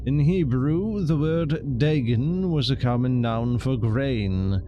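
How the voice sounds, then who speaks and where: A man's voice: medium pitch, expressive, takes it slowly, mellow, highs cut off, slight background noise. A man streaming a game, commenting casually and reading text aloud.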